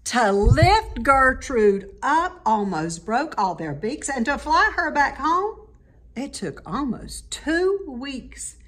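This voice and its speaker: A woman reads a rhyming children's story aloud. Her voice is fairly high and very animated, moving at an unhurried pace. She stresses words dramatically, as a storyteller does. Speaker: storybook reader.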